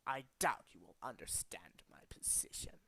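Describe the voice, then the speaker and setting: A man voicing a cold, megalomaniac villain boss in a dramatic, deliberate video game monologue. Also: sits low, animated, gravelly, a bit bright, whispered, pitched below the performer's own voice, full character voice. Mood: cold, quiet, aloof. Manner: grandiose, deliberate, menacing. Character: cold, megalomaniac male villain boss bent on remaking the world. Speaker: amateur voice actor in demo reel.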